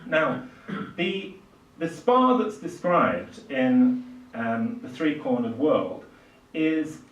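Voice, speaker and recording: Halting older man lecturing, distant and roomy. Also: fairly high pitch, very animated, dull audio, a little hiss or hum. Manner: halting, hesitant delivery with frequent pauses. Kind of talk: public literary lecture. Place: small indoor lecture room with seated audience. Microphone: far camera, speaker at a lectern. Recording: roomy, distant microphone in a hall.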